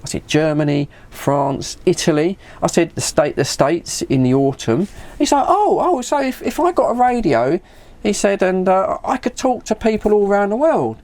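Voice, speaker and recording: A middle-aged British man talks in a casual, chatty way, like a hobbyist vlogger. His pitch moves about in a lively, animated fashion. He recounts conversations by acting out each side, often repeating words along the way. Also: moderate pace. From United Kingdom. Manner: acts out remembered conversations, repeating words as he goes. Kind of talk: casual chat with anecdotes.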